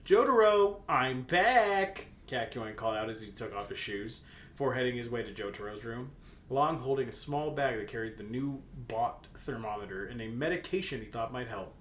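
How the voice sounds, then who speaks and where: A man reading fan fiction aloud as a comedy bit, in a playful manner. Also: a little fast. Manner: playful, breaks into laughter mid-sentence. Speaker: reader, co-host. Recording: roomy echo, noisy background with rumble.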